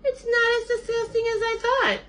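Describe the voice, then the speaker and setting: A woman's voice: sits high, big pitch swings, measured pace, a bit bright, pitched above the performer's own voice, heavily acted. A woman voicing a whiny, picky male bird in a children's story, dramatic and demanding in his complaints. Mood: grudging, surprised.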